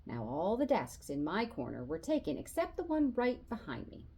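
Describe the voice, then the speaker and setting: A woman reading a children's novel aloud as an expressive storyteller, narrating in the voice of a boy and acting out the dialogue. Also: medium pitch, moderate pace, husky. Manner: animated, acts out dialogue.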